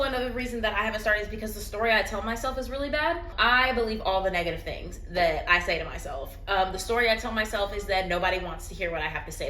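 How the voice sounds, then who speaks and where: A woman records a heartfelt, reflective vlog on her phone at home. Her voice is bright and clear. The room is roomy and echoey, with a faint hum in the background. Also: mid-range voice. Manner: earnest and reflective. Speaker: vlogger. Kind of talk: candid personal vlog talk.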